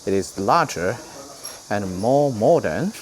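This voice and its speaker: Man, Chinese accent, lowish voice, very slow halting delivery, non-native English, informal travel vlog guide. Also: big pitch swings. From China. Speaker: vlogger giving a museum tour. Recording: clear background noise, on-location ambience.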